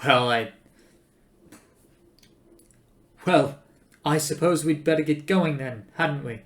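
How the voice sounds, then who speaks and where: A man's voice: fairly high pitch, moderate pace, pitched above the performer's own voice, close to an everyday voice. A man voicing a timid, anxious, polite man who speaks hesitantly and stammers a little. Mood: nervous.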